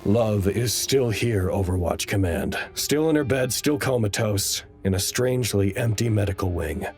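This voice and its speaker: Deep-voiced, anxious researcher played by a man. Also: lightly acted. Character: anxious, earnest male facility researcher narrating crisis logs. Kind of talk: audio drama acting, log narration.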